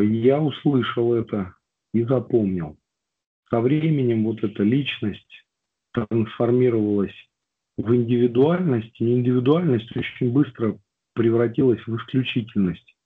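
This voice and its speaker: A man with a cold reads aloud and then slowly and reflectively tells his own recovery story to a group, with hesitant fillers. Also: medium pitch, breathy edge. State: has a cold. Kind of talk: personal testimony talk. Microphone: video call. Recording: tinny phone-like line.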